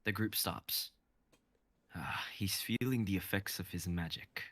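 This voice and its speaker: A young man in his twenties reading stage directions as narrator of a live script reading, in an even manner. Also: deep voice, a little slow. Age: 20s.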